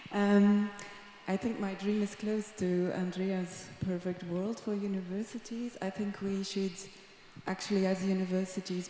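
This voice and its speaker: Flat mellow-voiced woman, echoey hall. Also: lowish voice, a little hiss or hum. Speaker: conference panelist. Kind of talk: panel discussion answer.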